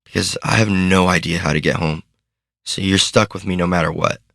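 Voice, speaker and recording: A man's voice: sits low, fairly even intonation, fairly quick, vocal fry, fairly bright tone, dry recording, studio quality. A man voicing a timid young boy who talks in a hesitant, self-doubting way. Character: timid, tearful young boy, unsure of himself.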